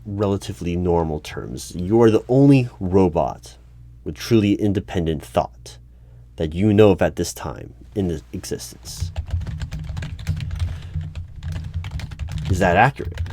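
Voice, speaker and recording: Man playing a deep-voiced, puzzled secret-agency interviewer. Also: dark tone, a little hiss or hum. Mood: careful, confused. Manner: halting, questioning, careful clarifying. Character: puzzled, methodical hiring manager of a secret agency. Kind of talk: one-sided ASMR interview roleplay. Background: computer keyboard typing and clicks.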